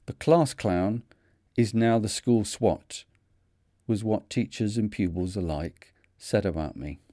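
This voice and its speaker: A man in his fifties with a Manchester accent, hosting a podcast and narrating his own story in a measured, earnest way. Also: on the low side, mellow, no room sound, clean studio sound. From North of England. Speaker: podcast host. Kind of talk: scripted reflective narration.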